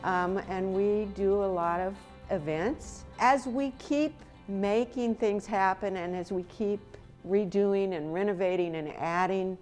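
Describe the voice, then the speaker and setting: A woman's voice: mid-range voice, a little slow, hardly any pauses, a little mellow, faint music behind. An older American woman speaking informally as an interviewee, on a lapel mic in a large old building. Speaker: museum representative, interviewee. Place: large old brick livery stable, indoors.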